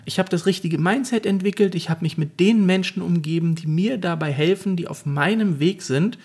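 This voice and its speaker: A man's voice: sits high, moderate pace, a little mellow, husky. A man co-hosting a podcast, telling his story in an earnest, reflective way. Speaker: podcast co-host. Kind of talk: casual podcast chat.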